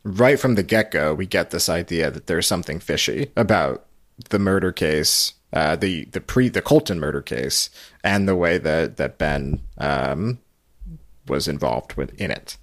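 A male podcast host chats through a recap in a deep voice. His delivery comes in short, halting pieces, recorded very dry. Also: lively intonation, dry recording. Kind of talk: casual recap commentary.

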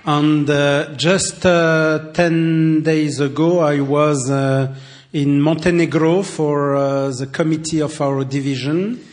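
A man from France with a French accent, addressing a congregation in simple, halting English and pausing for an interpreter. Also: a little high, fairly even intonation. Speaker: visiting church speaker and ministry director.

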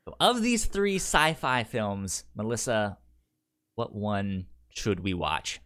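A man co-hosting a podcast, chatting casually about films in a halting way, with a pronounced stutter that repeats sounds and syllables. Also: lowish voice, lively intonation, a bit bright, smooth. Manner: halting, hesitant delivery. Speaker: podcast co-host. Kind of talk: casual film discussion.

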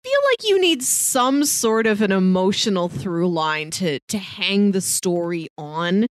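A woman's voice: medium pitch, expressive, a little slow, dry recording, studio quality. A woman co-hosting a movie podcast, discussing films in a casual, conversational style.

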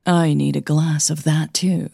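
Lady playing a calm caring voice coach woman in an audio drama, kinda teasing, low n slow. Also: gravelly, breathy edge, no echo, studio quality, heavily acted. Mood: dry, teasing. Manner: slow, reassuring, gently teasing. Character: Christine, composed, caring, probing female singing coach.

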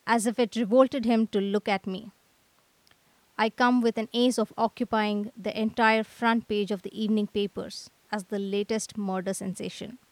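A woman's voice: medium pitch, flat delivery, normal speed, no echo, close to an everyday voice, rough recording. Woman as a bitter young painter guy, dramatic and moping, very dry close sound, radio play. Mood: bitter self-pity. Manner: theatrical, lamenting. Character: gloomy, aggrieved young male artist, self-pitying.

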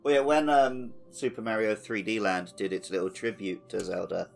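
A man's voice: fairly low pitch, fairly even intonation, moderate pace, vocal fry, soft background music. A man hosting a podcast in casual, conversational talk. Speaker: podcast host. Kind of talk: casual chat.

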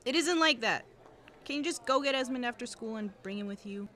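A woman's voice: fairly high pitch, animated, fairly quick, sharp tone. Woman playing an anxious, guarded teenage girl. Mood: exasperated. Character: anxious teenage student girl who records cassettes, guarded. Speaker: voice actor. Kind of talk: fiction podcast dialogue.

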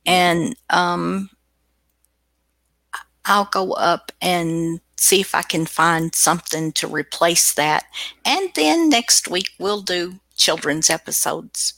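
A woman chats playfully as a show host. Her voice is bright with a clear creak, and she takes her time. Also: lowish voice, expressive, slow pace, dry recording. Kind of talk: casual unscripted chat.

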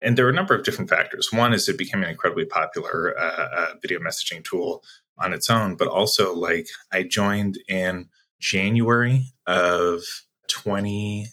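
A man's voice: sits low, moderate pace, bright, forceful tone. A man answering interview questions as a sales executive guest, talking conversationally in a reflective, explanatory way.

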